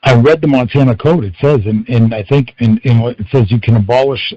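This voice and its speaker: A man speaking casually on a conference call, halting and correcting himself as he gives his account. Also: mid-range voice, warm tone, no room sound. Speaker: call participant. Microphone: phone call. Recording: dull lo-fi conference line.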